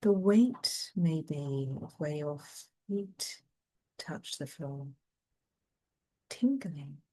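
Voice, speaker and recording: A woman leading a guided mindfulness meditation in a gentle, soothing manner, leaving long pauses between phrases. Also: low-pitched, lively intonation, low-quality recording.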